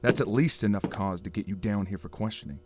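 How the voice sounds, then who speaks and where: Male police detective, played by an actor: dogged, confrontational, lower-pitched, noir audio drama. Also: brisk, darkish tone, a little hiss or hum, lightly acted. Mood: accusing. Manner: confrontational, blunt.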